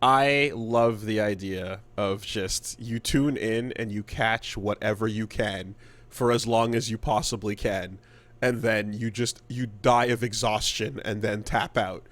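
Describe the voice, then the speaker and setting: A man co-hosting a gaming podcast in casual chat, halting and repeating words as he thinks aloud. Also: fairly low pitch, fairly even intonation, fairly bright tone. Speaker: podcast co-host.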